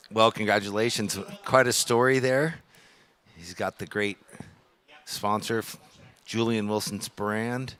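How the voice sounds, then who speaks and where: A man's voice: lowish voice, fairly bright tone. Man calling a live surf contest. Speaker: webcast commentator and beach announcer.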